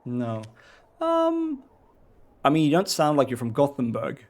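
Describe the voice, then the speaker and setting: A man's voice: fairly high pitch, wildly swooping pitch, a little slow, darkish tone. A man with a Swedish accent, a teacher and game streamer chatting casually, rambling and hesitant with many ums and restarts.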